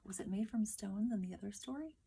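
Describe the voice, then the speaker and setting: A woman's voice: medium pitch, brisk, vocal fry, mellow, a little hiss or hum. A woman teacher reading a picture book aloud expressively, with emphatic delivery and questions for her listeners.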